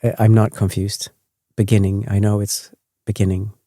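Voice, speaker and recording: A man from Sweden who speaks English with a Swedish accent as a second language, hosting a sleep podcast in a rambling, self-correcting, gently chatty way. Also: fairly low pitch, little pitch movement, moderate pace, dry recording, clean studio sound. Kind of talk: meandering bedtime talk.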